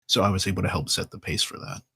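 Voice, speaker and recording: A man on a podcast panel, explaining his performing work in a reflective, measured and wordy way. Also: deep voice, steady tone, creaky, pushed and bright, breathy edge, no room sound, clean studio sound.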